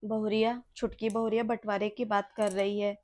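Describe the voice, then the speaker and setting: A woman voicing a domineering older mother-in-law in a family drama skit, cutting and loud when she scolds. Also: mid-range voice, steady tone, moderate pace, natural voice. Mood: sad, soft.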